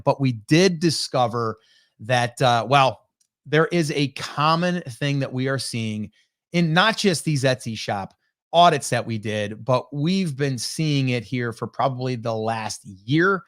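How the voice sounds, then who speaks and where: Slightly hoarse upbeat male podcast host. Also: mid-range voice, lively intonation, a little slow, fairly bright tone. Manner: chatty, upbeat, loose with fillers. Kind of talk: casual livestream podcast chat. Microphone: desk mic on a video call.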